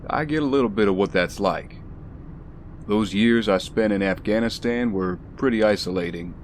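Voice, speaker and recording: Low-voiced, weary bodyguard played by a man. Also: a little hiss or hum, natural voice. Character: weary, straight-talking ex-soldier bodyguard, patient man. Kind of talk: audio drama acting.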